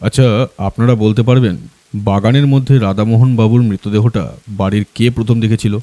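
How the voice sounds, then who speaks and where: A man's voice: fairly low pitch, steady tone, normal speed, warm tone, no room sound, close to an everyday voice. A man playing a stern, composed detective in an audio drama, questioning with firm authority. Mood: probing. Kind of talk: Bengali audio drama acting.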